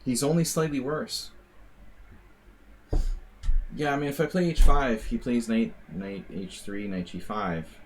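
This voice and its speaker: A man's voice: medium pitch, fairly even intonation, a little slow, long pauses, slight background noise. An American man giving casual, rambling live commentary on his chess games, with wry asides and plenty of fillers. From United States. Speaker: chess streamer.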